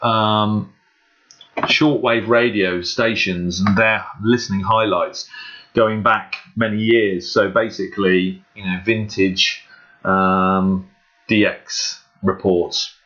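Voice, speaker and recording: Deep, bright-voiced man, muffled recording. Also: fairly even intonation, slow pace. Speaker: shortwave radio hobbyist and video host. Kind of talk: casual screen walkthrough vlog. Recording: dull, muffled lo-fi microphone.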